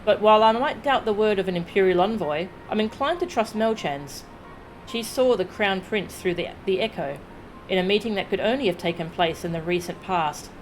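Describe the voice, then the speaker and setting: A woman voicing an earnest, level-headed young noblewoman adventurer, reading video game dialogue in a measured, explanatory manner. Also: mid-range voice, slight background noise, natural voice, poor audio. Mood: grave, convinced. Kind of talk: dramatic read-aloud of video game dialogue.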